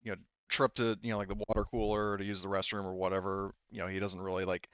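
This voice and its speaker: A man's voice: deep voice, level tone, fast talker, a bit bright, smooth, dry recording, dull audio. A man running a tabletop game as game master, narrating casually in a hesitant, self-correcting way.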